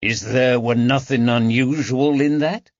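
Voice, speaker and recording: A man voicing a patient police constable who asks his questions gently and coaxingly. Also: mid-range voice, fairly even intonation, unhurried, no room sound, lightly acted. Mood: gentle. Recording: dull, dry audiobook recording.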